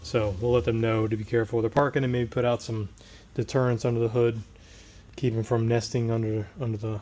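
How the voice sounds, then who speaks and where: Hoarse man explaining a transmission rebuild. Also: mid-range voice, flat delivery, brisk, a little mellow. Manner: plain-spoken, working while talking. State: hoarse, recovering from tonsillitis. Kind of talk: casual shop walkthrough.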